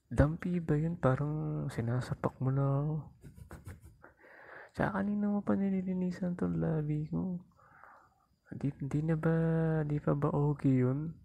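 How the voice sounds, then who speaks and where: A man's voice: on the high side, vocal fry, dark tone, no room sound. A man playing a cocky, teasing young boyfriend in an intimate ASMR roleplay, with a Filipino accent and a playful, coaxing manner. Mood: mock-whining. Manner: playful, coaxing, chuckling, mock-whining. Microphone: lapel mic into mobile phone, close.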